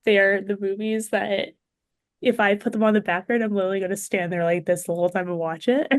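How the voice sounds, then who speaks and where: A woman's voice: medium pitch, fairly even intonation, brisk, no echo. Woman chatting casually, dry close sound. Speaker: podcast guest. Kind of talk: casual chat about movies.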